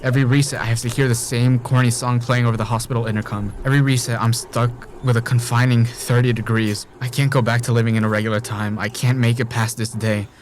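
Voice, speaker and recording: A man's voice: mid-range voice, level tone, fast talker, few pauses, lightly acted. A man acting in an audio drama as an obsessive, murderous man trapped in a time loop, speaking with pleading intensity. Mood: pleading, intense. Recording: noisy background ambience.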